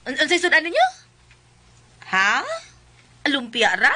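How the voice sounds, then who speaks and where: A vain spendthrift wife in a radio drama, speaking with a Cebuano Filipino accent. Her voice is high and sharp, and she rambles in gushing exclamations, boastful and quick to snap. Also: very animated, exaggerated voice. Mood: gushing, showy.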